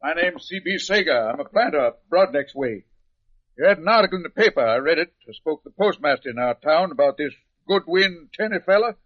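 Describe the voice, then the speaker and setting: An actor in an old-time radio drama playing a blunt, impatient rural planter with a folksy, clipped, bossy manner. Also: male voice, a little high, lively intonation, fairly quick. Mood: brisk, assertive. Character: blunt, impatient rural male planter, plain-spoken, no-nonsense. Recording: muffled vintage radio recording.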